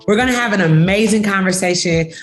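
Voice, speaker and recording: A woman's voice: mid-range voice, fast, soft background music. A woman hosting a conversational interview as a pastor, speaking in an effusive, welcoming way. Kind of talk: warm-up introduction and conversational interview.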